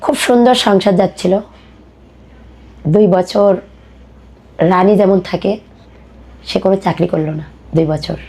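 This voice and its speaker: Husky, bright-voiced Bangladeshi woman. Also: medium pitch, a little slow, pauses often. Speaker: podcast guest. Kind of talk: personal life story told in conversation.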